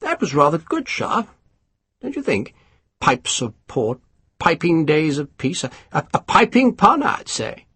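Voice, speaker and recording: Man playing a pompous colonel, husky, swooping pitch, snappish, condescending, audiobook. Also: very animated, unhurried, a bit bright, no echo, heavily acted. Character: pompous scheming male British colonel. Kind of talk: audiobook character voice.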